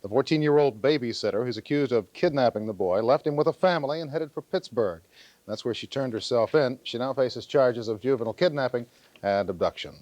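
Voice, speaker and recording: A man's voice: mid-range voice, animated, brisk, darkish tone, no echo. A man reading the news as a local television anchor. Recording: faint music, 1980s TV broadcast.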